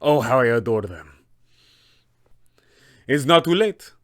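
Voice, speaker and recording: A man's voice: medium pitch, very animated, slow pace, a bit bright, no echo, studio quality. A man voicing a suave, roguish pirate captain with a flamboyant, mock-polite manner for a tabletop villain. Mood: taunting, gleeful.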